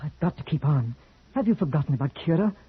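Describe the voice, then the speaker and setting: A man's voice: fairly high pitch, animated, quick pace, a little hiss or hum, natural voice. An actor playing a determined hunter, protective of his wife, with urgent, clipped delivery in old-time radio drama style. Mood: grim, determined. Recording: tinny, band-limited old radio recording.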